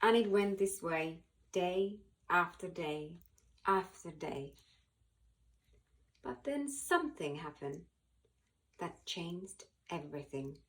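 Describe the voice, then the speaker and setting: Expressive woman reading a children's story. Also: on the low side. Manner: animated, dramatic pauses and emphasis. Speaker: volunteer children's book reader.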